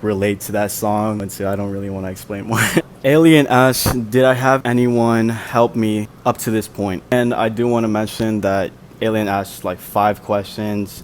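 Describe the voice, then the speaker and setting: A young man, an independent musician, answering fan questions in a casual, soft-spoken, chatty way with lots of fillers. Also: lowish voice, a little hiss or hum. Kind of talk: casual Q&A vlog.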